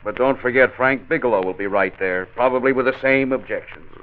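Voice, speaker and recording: A man's voice: medium pitch, brisk, close to an everyday voice. A thin, band-limited 1940s radio voice of a worried professional man played by an actor. He sounds cautious and resigned in a middle register, with faint vehicle noise behind him. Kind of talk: 1940s radio drama acting. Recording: narrow-band, noisy old radio recording.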